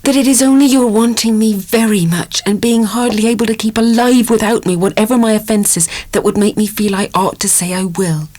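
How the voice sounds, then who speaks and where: A woman's voice: medium pitch, fairly even intonation, a little fast, hardly any pauses, darkish tone, close to an everyday voice. An actress playing an anguished, tender young dairymaid in love in a dramatic audiobook, hesitant and impassioned as she hides a secret. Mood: yielding.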